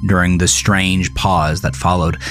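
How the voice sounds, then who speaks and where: A low male voice narrates a dark thriller story at a slow, deliberate pace. The delivery is measured and scripted, and faint music plays underneath. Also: little pitch movement.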